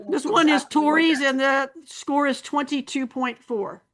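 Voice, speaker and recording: High-voiced woman announcing photo entries. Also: a bit bright. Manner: unhurried, announcing entries and scores. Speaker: meeting moderator. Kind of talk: running a photo critique session.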